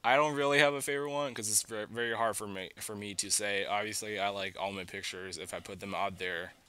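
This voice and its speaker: A teenage student from China, a young man chatting casually as a podcast guest, haltingly and repeating words as he goes. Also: on the low side, steady tone, sharp tone, clean tone. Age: teens, a student. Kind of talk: casual chat.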